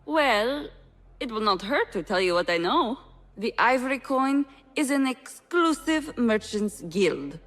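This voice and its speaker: An actress playing a wry, gossipy market trader in a video game, confiding and expressive. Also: female voice, a little high, a little slow. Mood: hesitant, confiding. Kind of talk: cinematic video game dialogue.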